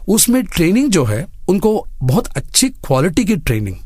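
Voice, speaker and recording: A man's voice: high voice, wildly swooping pitch, normal speed, dark tone, no room sound, clean studio sound. A man speaking as an interview guest, earnestly laying out his points and advice one by one. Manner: earnest, makes enumerated points. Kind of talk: radio interview, advocacy and advice.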